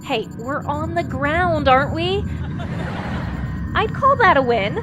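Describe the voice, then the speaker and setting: A woman's voice: high-pitched, animated, takes it slowly, over music, a crowd in the background. A woman playing a carefree, perky thrill-seeking spaceship pilot in a sci-fi comedy radio drama, bubbly and playful.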